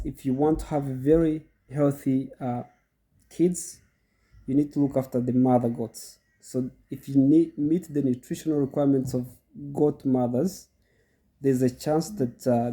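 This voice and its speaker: A man's voice: medium pitch, fairly even intonation, moderate pace, warm tone, husky. A middle-aged goat farmer with a cold, hosting his channel and explaining step by step in a chatty way to his viewers, often repeating himself. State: congested from a cold. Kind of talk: casual instructional talk to viewers.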